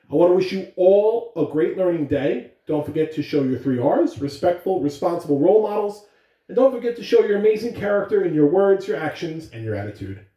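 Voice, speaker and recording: A man addresses young schoolchildren with emphatic, highly varied intonation. He delivers announcements and stories in a direct, animated way. The recording is echoey, with his voice some way from the microphone. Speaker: school announcer reading aloud. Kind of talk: morning announcements and storytelling for children. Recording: echoey room, distant microphone.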